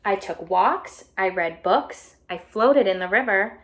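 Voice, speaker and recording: A woman voicing an earnest, eager, slightly anxious egg in a children's storybook, with expressive, emphatic delivery and drawn-out key words. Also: medium pitch, a little hiss or hum, natural voice. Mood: wistful, calm. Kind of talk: children's storybook read-aloud.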